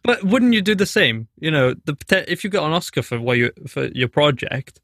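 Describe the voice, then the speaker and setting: Young dude, bit higher voice, agreeable jokey podcast banter, dry close sound. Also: male voice, sing-song, a little fast, a bit bright, no room sound. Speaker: podcast co-host. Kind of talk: casual chat.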